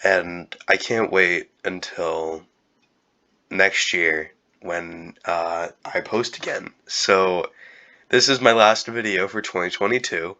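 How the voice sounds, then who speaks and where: A young man with a cold, talking in a halting, casual way with many fillers and restarts. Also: low voice, fairly even intonation, bright, forceful tone, highs cut off. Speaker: YouTuber. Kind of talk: casual year-end vlog.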